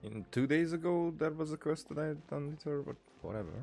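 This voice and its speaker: A man's voice: fairly high pitch, fairly quick, creaky, darkish tone, faint music behind. A man giving casual let's-play commentary with offhand, muttered reactions as a gaming streamer.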